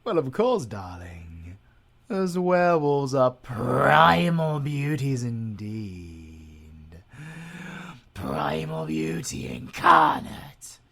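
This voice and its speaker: A man's voice: medium pitch, very animated, drawn-out words, gravelly, fairly bright tone, heavily acted. A British man playing a sadistic, gloating male werewolf villain with a silky, menacing delivery that drops into whispers. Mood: gloating menace. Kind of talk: audio roleplay acting.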